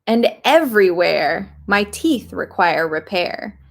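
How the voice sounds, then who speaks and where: Storybook villain: a woman doing a grinning, pushy crocodile, slow and coaxing. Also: mid-range voice, animated, vocal fry, full character voice. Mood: sly demanding. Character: cunning grinning male crocodile, sly and pushy.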